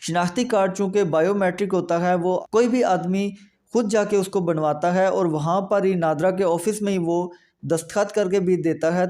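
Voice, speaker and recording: A man's voice: high-pitched, a little mellow. A man with a Pakistani accent giving an explanatory legal lecture, going through it methodically step by step and repeating points.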